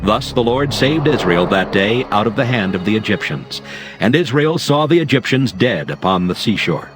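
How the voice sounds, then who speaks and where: A man narrating a dramatized scripture reading in a slow, steady and formal way. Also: fairly low pitch. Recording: music underneath.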